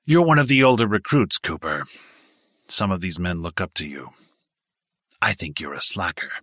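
A man voicing a gruff, scornful male army drill sergeant who barks orders. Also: low-pitched, lively intonation, normal speed, bright, forceful tone, dry recording, studio quality, heavily acted. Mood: taunting. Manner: barking commands.

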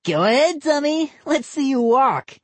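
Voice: high voice, very animated, measured pace, no echo, pitched above the performer's own voice. A narrator voicing a smug, giggly prankster boy in an audiobook. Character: boastful prankster boy Vinny.

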